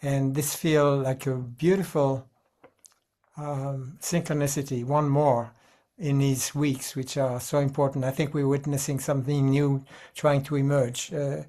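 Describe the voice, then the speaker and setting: A man sharing reflective thoughts informally on a video call, hesitantly, pausing and repeating words as he goes. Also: medium pitch, flat delivery, dark tone. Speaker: participant giving thanks at an online gathering.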